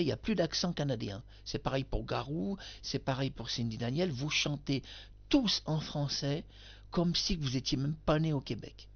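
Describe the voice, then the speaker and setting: Male video commentator, gravelly, circles back and insists, muffled home recording. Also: mid-range voice. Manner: emphatic, addresses the singer directly, repeats himself. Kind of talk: rambling spoken commentary to camera.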